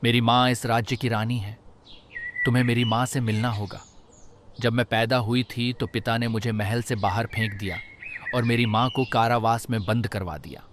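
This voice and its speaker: A man voicing a calm, stern talking rabbit who is a reborn saint, in a solemn, measured way for a Hindi cartoon. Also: mid-range voice, background noise, lightly acted. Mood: solemn, commanding. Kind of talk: Hindi animated moral story dialogue.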